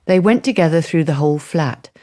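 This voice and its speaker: A man's voice: fairly high pitch, expressive, fairly quick, a little mellow. A man narrating a radio drama in a scripted storytelling style.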